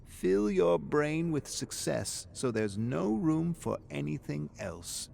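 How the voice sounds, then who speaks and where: A man plays a wise, supportive mother in a story reading. The words come earnest and emphatic in a gravelly register, like firm encouragement, with faint noise behind them. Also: on the high side, sing-song, takes it slowly, darkish tone, pitched above the performer's own voice, exaggerated voice. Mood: encouraging. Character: supportive, wise middle-aged mother giving firm pep talks.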